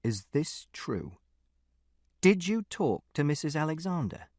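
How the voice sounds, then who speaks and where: A man voicing an angry middle-aged father with a volatile temper, speaking low, slow and menacing, with plenty of swearing. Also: big pitch swings, dry recording, clean studio sound, natural voice. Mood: quietly menacing. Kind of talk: audiobook character voice.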